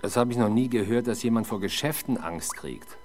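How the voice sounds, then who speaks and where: A man's voice: mid-range voice, brisk, noisy background, lightly acted. A man playing a sceptical, sardonic middle-aged police interrogator in a 1970s German radio drama, dry and needling.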